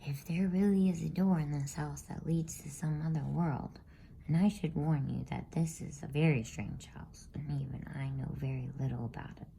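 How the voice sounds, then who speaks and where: A woman voicing an elderly male professor in a bedtime storybook reading, calm, logical and measured, in a soft, breathy voice. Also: low voice, a little hiss or hum, rough recording. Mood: musing, deliberate. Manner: measured, musing, pedantic.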